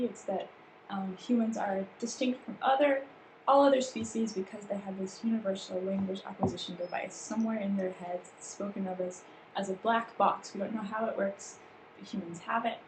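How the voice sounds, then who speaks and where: A woman leading a staff training session, explaining ideas at length with frequent fillers and restarts. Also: mid-range voice. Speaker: workshop trainer. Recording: roomy with background noise.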